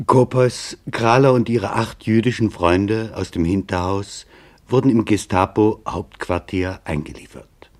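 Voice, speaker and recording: An actor playing a sober reporter who formally narrates a documentary radio play about wartime events. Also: male voice, lowish voice, unhurried, a little mellow, lightly acted. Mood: sober, grave.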